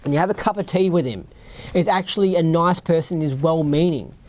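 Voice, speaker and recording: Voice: mid-range voice, fairly quick, a little mellow, a little hiss or hum. A podcast host with an Australian accent, reading a written account aloud and breaking off into rambling asides, often asking "right?" and "you know what I mean?".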